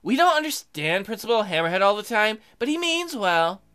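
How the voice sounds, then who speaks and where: A woman's voice: mid-range voice, sing-song, brisk, a bit bright, clean tone, voice pushed up. A woman voicing a cheerful, eager shark schoolgirl in a visual novel, perky and earnest.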